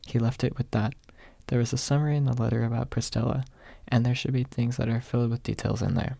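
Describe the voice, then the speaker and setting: A haughty young maid, read by a man in a quiet storybook style. The delivery is dry and dismissive, with a husky, creaky voice. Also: mid-range voice, steady tone, fast, no room sound. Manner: dry, dismissive, refers to self in third person. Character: haughty, blunt young pink-haired maid, a woman. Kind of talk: ASMR audiobook character reading.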